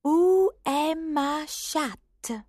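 A man voicing a curious, fretful young girl in a high, wondering tone for a storybook. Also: very animated, slow pace, no echo, clean studio sound, full character voice.

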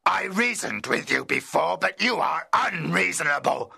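A man voicing a huge, bitter male monster in an audiobook, speaking slowly and menacingly with a rough, raspy voice. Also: a little high, animated, sharp tone, pitched above the performer's own voice, exaggerated voice. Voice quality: gravelly raspy rasp. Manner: slow, heavy, threatening delivery. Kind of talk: audiobook reading.

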